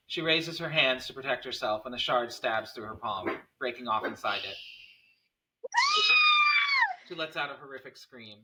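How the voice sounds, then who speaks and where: A man's voice: mid-range voice, bright, forceful tone, rough recording. A man reading screenplay stage directions as the narrator of a table read.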